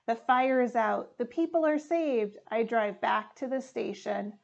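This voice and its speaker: A young woman reads a picture book aloud for children. Her voice is high and she takes her time, reading deliberately and expressively. The indoor recording sounds slightly dull and lo-fi. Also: creaky. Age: young adult. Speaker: firefighter reading a storybook. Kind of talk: children's storybook read-aloud. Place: small room indoors.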